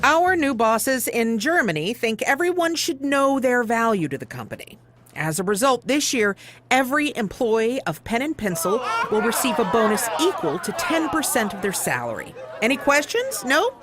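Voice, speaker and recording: Dry, exasperated female boss, animated intonation. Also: on the high side, moderate pace, soft background music. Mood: managerial, uneasy. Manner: dry, businesslike. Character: exasperated, dryly sarcastic female agency chief executive. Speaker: actor. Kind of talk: comedy audio drama acting.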